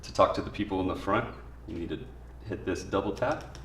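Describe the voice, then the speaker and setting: A man's voice: sits low, brisk, fairly bright tone. A man giving an informal, step-by-step spoken product tutorial in a plain way. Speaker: product demonstrator. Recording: echoey room, distant mic, faint noise.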